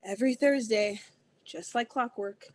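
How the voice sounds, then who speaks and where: A woman's voice: medium pitch, a little slow, darkish tone, dry recording, natural voice. An actress at a table read playing a wry, teasing young woman who laughs easily. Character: young woman April, teasing, with a well-connected mom.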